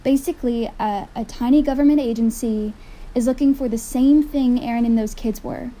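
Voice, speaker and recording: A troubled, sceptical woman, played by an actress in a radio drama. Her voice is rather high in pitch yet very muted and mellow in timbre. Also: normal speed, close to an everyday voice, low-quality recording. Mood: incredulous. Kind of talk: scripted radio drama acting.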